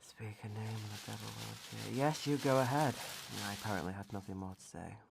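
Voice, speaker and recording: A man's voice: fairly low pitch, a little fast, vocal fry, a little hiss or hum. A man acting in a radio drama as a grumpy, sleepy spirit of hibernation season, grumbling with weary sarcasm. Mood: dry sarcasm.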